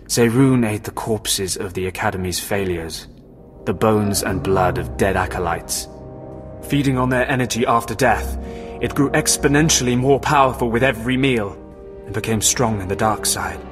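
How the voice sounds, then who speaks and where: A man's voice: lowish voice, moderate pace, music in the background, close to an everyday voice. A man playing a curt, sinister Sith beast trainer in a video game, with a brusque, brooding delivery. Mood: confiding, grim. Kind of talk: video game dialogue acting.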